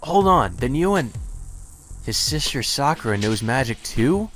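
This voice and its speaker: A man's voice: medium pitch, very animated, a little slow, a bit bright. A man hosting a let's play, reading game dialogue aloud and adding casual, blunt commentary. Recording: music playing under the voice.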